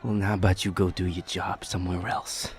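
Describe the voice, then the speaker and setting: A man playing a defiant, confrontational hothead in an audio roleplay, loud, scornful and sarcastic. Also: low voice, little pitch movement, fairly quick. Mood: angry, taunting.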